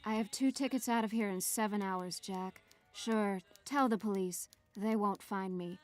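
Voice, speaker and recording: Actress as a sly noir femme fatale, faint music. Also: female voice, medium pitch, steady tone, unhurried, dry recording, close to an everyday voice. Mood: smug. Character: sly, poised female bank teller, a scheming femme fatale. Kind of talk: noir radio drama acting.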